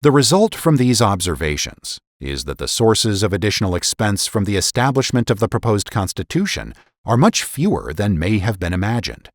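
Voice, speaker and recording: A man narrating a dramatic reading of an old political essay in a formal, measured, emphatic oratorical manner. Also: fairly low pitch, very animated, no echo, studio quality. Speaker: podcast host and narrator.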